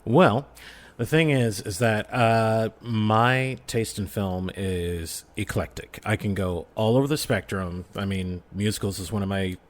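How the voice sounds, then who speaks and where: Low voiced guy guesting on a podcast, chatting casually and really emphatic, fan style. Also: unhurried. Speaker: podcast guest, film reviewer and audio drama creator. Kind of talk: casual podcast interview chat.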